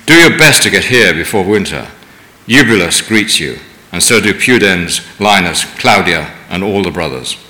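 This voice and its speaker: A man reading scripture aloud in church, measured with occasional stumbles. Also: sits low, animated, bright, forceful tone. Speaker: scripture reader. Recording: distant mic in reverberant hall.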